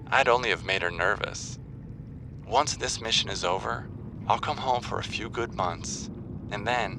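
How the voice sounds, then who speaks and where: Casting: smug corporate boss in game audio logs, creaky low register, slick management patter. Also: male voice, background noise. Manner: polished upbeat management-speak. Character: smug corporate manager man at a research outpost. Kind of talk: scripted game audio log acting. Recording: music under the voice.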